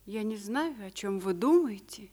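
A woman's voice: medium pitch, animated, moderate pace, dark tone, close to an everyday voice. A woman acting in a radio play as a loyal young maid, deferential and hesitant yet stubbornly guarded. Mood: guarded, indignant.

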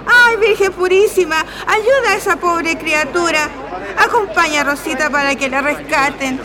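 Shrill, bossy Chilean mother. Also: moderate pace. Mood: alarmed. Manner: scolding, colloquial, exclaiming. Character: bossy, protective, excitable small-town mother. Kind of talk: radio play acting. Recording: street and crowd noise behind.

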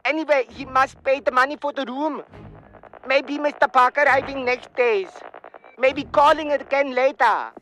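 Halting, high-voiced hotel clerk. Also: female voice, pushed and bright, poor audio. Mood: flustered. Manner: hesitant, broken English. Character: halting, apologetic foreign hotel clerk on the phone. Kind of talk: radio play acting.

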